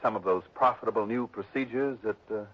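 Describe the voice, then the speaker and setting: An actor playing a dry, probing insurance investigator who also narrates, in a 1960s radio drama. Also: male voice, fairly low pitch, a little fast, lightly acted. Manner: dry, needling questions. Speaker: narrator and lead detective. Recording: dull lo-fi old radio broadcast.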